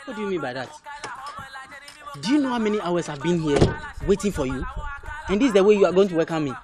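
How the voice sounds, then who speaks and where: A man's voice: high-pitched, animated, fast talker. A man acting a desperate, blustering boyfriend in comic melodrama, with a West African accent and theatrical, repetitive pleading. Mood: indignant.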